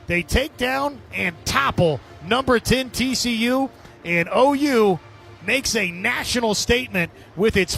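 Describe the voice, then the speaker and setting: High-pitched, shouting baseball radio play-by-play man. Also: gravelly. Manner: emphatic, drawn-out calls. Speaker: play-by-play sports announcer. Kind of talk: excited baseball radio commentary.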